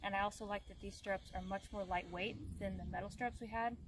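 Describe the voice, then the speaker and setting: A woman's voice: mid-range voice, fairly even intonation, brisk. A young woman giving a casual review to camera as a horse rider, recorded outdoors. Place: outdoors in a grassy field. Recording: clear outdoor background noise.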